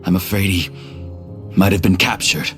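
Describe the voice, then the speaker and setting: A man's voice: low voice, steady tone, moderate pace, music in the background, natural voice. A man voicing a dutiful, worried subordinate reporting to a superior in a video game. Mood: anxious.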